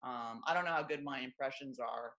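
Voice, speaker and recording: A woman from Los Angeles with a deep, low voice, a former stand-up comic talking candidly and wryly as an interview guest. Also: brisk, fairly bright tone. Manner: wry, self-deprecating, lots of fillers like "you know" and "like".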